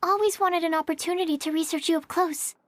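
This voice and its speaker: A high, shy alchemy assistant. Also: female voice, steady tone, quick pace, no echo. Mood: timid. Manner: timid, apologetic, polite. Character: shy, nervous young female alchemy assistant. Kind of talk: video game cutscene dialogue. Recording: game cutscene with music behind. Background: game music.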